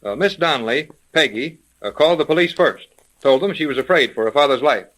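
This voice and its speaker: A man's voice: mid-range voice, expressive, a little fast, fairly bright tone, lightly acted, rough recording. A man acting a wisecracking, loyal novice detective in a 1930s radio serial, flippant and folksy in manner. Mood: cheeky, defiant.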